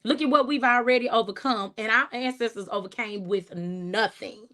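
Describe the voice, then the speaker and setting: A woman speaking, chatting casually to viewers on a livestream. Her voice sits a little high, and she thanks people by name, encourages them warmly and laughs often. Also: normal speed. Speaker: livestream host. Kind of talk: casual livestream chat reading comments.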